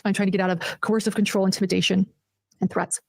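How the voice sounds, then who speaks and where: Fast-talking, aggrieved woman reading aloud. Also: medium pitch, flat delivery, vocal fry, no echo, studio quality. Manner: aggrieved, emphatic, self-justifying. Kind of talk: reading documents aloud with indignant commentary on a livestream.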